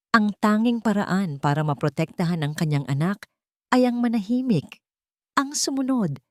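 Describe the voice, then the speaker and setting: A woman's voice: fairly low pitch, no echo, clean studio sound. A woman with a Filipino accent reading a scripted, dramatic story as a narrator.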